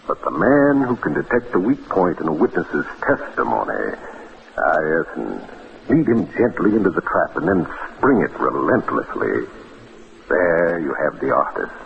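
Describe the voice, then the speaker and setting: Deep-voiced, pompous, urbane lawyer. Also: male voice, very animated, normal speed, vocal fry, exaggerated voice. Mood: wry, expansive. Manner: theatrical, pompous, wry. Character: urbane, grandiloquent bachelor lawyer, worldly, brandy-loving bon vivant. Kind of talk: old radio drama acting. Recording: tinny, noisy old radio recording.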